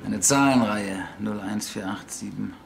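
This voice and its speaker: An actor playing a dry, wry police case analyst. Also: male voice, fairly low pitch, measured pace, vocal fry. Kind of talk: TV crime drama acting. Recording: echoey room with music.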